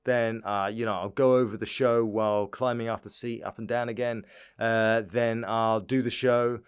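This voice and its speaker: Male comedian with a clear, smooth voice. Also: fairly low pitch, level tone, moderate pace. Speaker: stand-up comedian, podcast guest. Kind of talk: casual comic chat.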